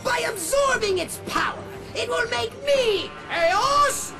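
An actor voicing a short, megalomaniac evil dark sorcerer in a video game, shrill, pompous and taunting. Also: male voice, lively intonation, slow, over loud music, heavily acted. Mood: boastful. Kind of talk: over-the-top cartoon villain acting.

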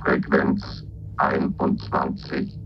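An actor playing a formal military negotiation robot in a radio drama, with stiff, clipped, formulaic delivery. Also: male voice, rumbling low, completely flat delivery, extremely slow, full character voice. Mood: mechanical, formal. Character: two military negotiation robots exchanging coded messages, formal, mechanical. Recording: dull, noisy recording.

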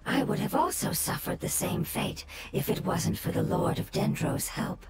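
A woman voicing a gentle floating fungus creature in a video game, with soft, measured, reflective speech. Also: mid-range voice, very animated, very noisy. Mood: wistful. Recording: game music under the voice.

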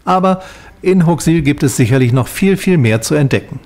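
A man narrates a travel vlog in a scripted voice-over. His voice sits somewhat higher than most men's. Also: lively intonation, a little fast, darkish tone.

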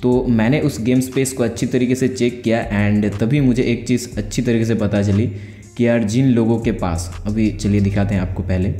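Young male tech host, informal tutorial delivery, mellow tone, echoey room with faint music. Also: fairly low pitch. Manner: informal, conversational, explains step by step. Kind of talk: casual tutorial voice-over.

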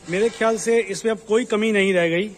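A man giving a slow, explanatory walkthrough tour of an unfinished commercial building, pointing out each floor. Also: high-pitched, steady tone, faint music behind. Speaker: property dealer giving a site tour. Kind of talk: walkthrough property tour narration. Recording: echoey, roomy building with ambient noise.

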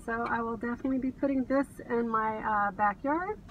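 High-voiced American woman chatting in a car. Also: fairly quick, narrow, tinny audio. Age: middle-aged. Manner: gushing, enthusiastic. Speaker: reseller vlogger. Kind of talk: casual chat to camera, showing thrift finds. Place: inside a car. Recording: car cabin, distant mic, background noise.

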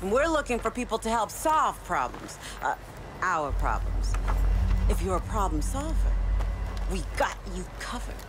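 An actress playing a mocking, menacing young raider leader in a video game, drawling and sardonic. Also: female voice, medium pitch, sing-song, moderate pace, noisy background, lightly acted. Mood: mocking menace. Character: mocking, menacing twin sister leading a raider gang. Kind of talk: video game villain acting. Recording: music behind the voice.

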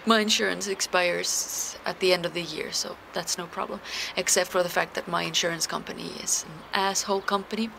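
A woman's voice: on the low side, steady tone, fairly quick, gravelly, few pauses, bright, forceful tone. A woman in her thirties from Finland with a Finland-Swedish accent, whose first language is Swedish, talking candidly and rambling a little, with some swearing, in a casual vlog. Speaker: vlogger. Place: outdoor balcony of an apartment block. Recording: noisy outdoor street ambience.

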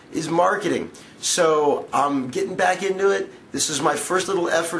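A man's voice: on the high side, fairly bright tone, a little hiss or hum. Eager young man selling his course. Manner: enthusiastic, conversational, with self-corrections. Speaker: restaurant magician pitching his course. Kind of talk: direct-to-camera sales pitch. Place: indoor room, seated on a patterned couch.

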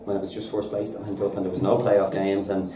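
Irish football manager talking quick after a match, deep flat voice, a bit far off the mic in an echoey room. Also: male voice, a crowd in the background. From Ireland. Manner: upbeat, fast and repetitive, says "listen" a lot. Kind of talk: post-match interview. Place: bare indoor room at a stadium. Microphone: reporter's handheld recorder held out.

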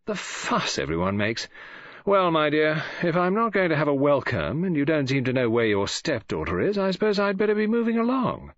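A man's voice: a little high, very animated, a little fast, few pauses, no echo, dull audio, pitched above the performer's own voice. A man voicing a flippant, insolent young dandy with an airy, teasing drawl, in an audiobook. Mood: mock offended.

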